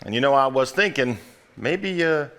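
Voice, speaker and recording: A folksy heir with a medium-pitched voice. He is plain-spoken and sardonic, with a wry turn to his phrases. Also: lively intonation, moderate pace, fairly bright tone. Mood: reflective, wry. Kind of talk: live stage play acting.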